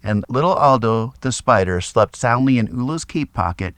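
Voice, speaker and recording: A man narrating a scripted children's story in an expressive, dramatic read-aloud style. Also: mid-range voice, normal speed, clear, resonant tone, no room sound, clean studio sound.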